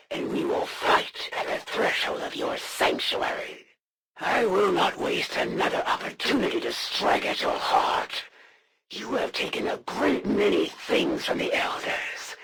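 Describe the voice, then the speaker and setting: A woman voicing a proud, menacing alien assassin for a video game, speaking formally and scornfully with a gravelly, theatrical delivery. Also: lowish voice, background noise, full character voice. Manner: formal, haughty, measured and threatening.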